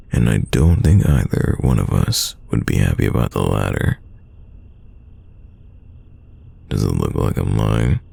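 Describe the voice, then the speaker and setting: A man acting a teasing, stubborn young friend in an intimate roleplay audio drama, with a very deep, gravelly voice and a casual delivery full of drawn-out sighs. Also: mellow, no room sound, full character voice. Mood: insistent, smug.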